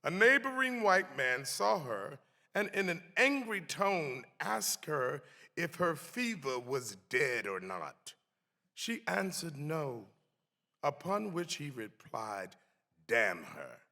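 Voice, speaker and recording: A man giving a solemn, emphatic dramatic reading of a historical text in a deliberate, theatrical way. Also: on the high side, very animated, vocal fry, bright, forceful tone. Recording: echoey hall with long reverb.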